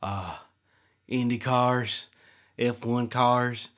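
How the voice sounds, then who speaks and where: A man's voice: mid-range voice, fairly even intonation, very slow, a bit bright. A man playing a boastful, folksy race car driver turned instructor in a comedy radio interview.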